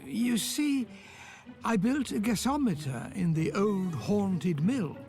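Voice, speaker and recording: A man's voice: sits high, animated, slow pace, over music, heavily acted. An actor voicing a fussy older male inventor who explains things patiently with a touch of condescension. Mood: explaining. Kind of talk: game voice acting.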